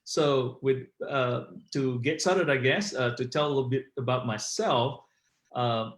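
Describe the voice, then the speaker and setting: Guy, middle aged, telling his story on an interview, mid pitch, echoey sound. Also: measured pace. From Malaysia. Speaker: interview guest, author. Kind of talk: conversational personal storytelling. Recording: roomy, echoey online call.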